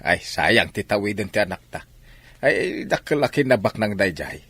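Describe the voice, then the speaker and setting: A man playing a scheming, argumentative village husband with an Ilocano accent in a radio drama, animated and protesting. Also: lowish voice, moderate pace, breathy edge, dry recording. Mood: insistent.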